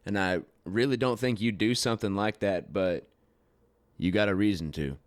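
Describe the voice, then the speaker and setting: An actor playing a weary, guarded rodeo rider, in halting, plain-spoken radio drama style. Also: male voice, on the low side, dry recording, natural voice. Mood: apologetic, earnest. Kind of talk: naturalistic radio drama acting.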